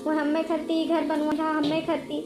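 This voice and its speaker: A woman's voice: sits high, monotone, moderate pace, background noise, close to an everyday voice. A woman voicing an aggrieved female witch ghost in a cartoon comedy, speaking emphatically and repeating words for force. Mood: aggrieved, pleading. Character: aggrieved female witch ghost, murdered before her wedding. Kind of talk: animated comedy cartoon voice acting in dialect.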